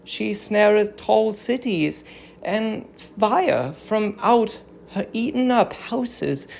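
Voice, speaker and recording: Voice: mid-range voice, animated, extremely slow, creaky, darkish tone, a little hiss or hum, voice pushed up, full character voice. A performer voicing a grave, grieving old Trojan queen, declaiming solemn archaic verse in a dramatic tragedy reading. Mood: urgent warning.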